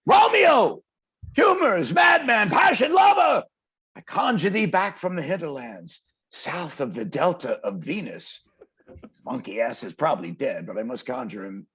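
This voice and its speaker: A man playing a brash, streetwise poetic performer with a gravelly, husky voice, swaggering and declamatory in a table read. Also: on the high side, very animated, a little slow, fairly bright tone, shouting, exaggerated voice. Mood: mock incantation. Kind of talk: dramatic table read, slang and verse. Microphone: video call. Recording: video call.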